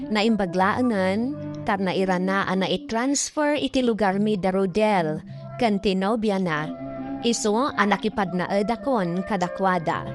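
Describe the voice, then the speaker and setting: A woman's voice: mid-range voice, unhurried, close to an everyday voice. A woman voicing the first-person narrator of a sorrowful family story, in scripted drama narration. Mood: sorrowful, resigned. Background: music under the voice.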